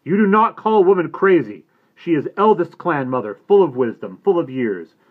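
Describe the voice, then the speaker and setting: A man doing a fierce shouting warrior. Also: on the high side, lively intonation, a little slow, voice pushed up. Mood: roaring. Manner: shouting in broken, clipped phrases. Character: fierce proud adult male warrior chief. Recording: tinny phone-like audio.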